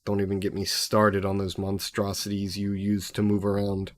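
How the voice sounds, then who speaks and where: A man's voice: on the low side, completely flat delivery, normal speed, close to an everyday voice. A man playing a sassy, possessive, centuries-old demon in an audio roleplay, speaking haughtily and sardonically in formal wording. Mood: grumbling, disdainful. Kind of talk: scripted audio roleplay addressed to the listener.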